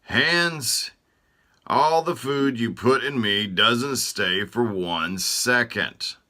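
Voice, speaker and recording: A man's voice: medium pitch, very slow, voice pushed up, exaggerated voice. A man voicing whiny, grumbling body parts. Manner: whiny, raised voice, louder than the narration. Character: complaining body parts, peevish and hungry.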